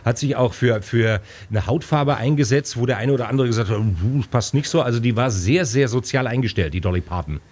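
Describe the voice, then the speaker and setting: A male radio host in his fifties with an average-pitched voice. He runs a relaxed, conversational music interview in a chatty, joking way and likes to interject. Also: fairly quick, hardly any pauses. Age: 50s.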